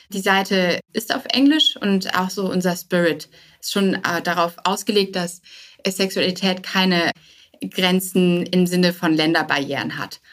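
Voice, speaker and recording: A woman with an average-pitched voice speaks as a guest in an interview. She sounds informal and conversational, feeling her way through answers and dropping in English business terms. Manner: informal, hesitant, sprinkles in English business words. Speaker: interview guest, start-up co-founder.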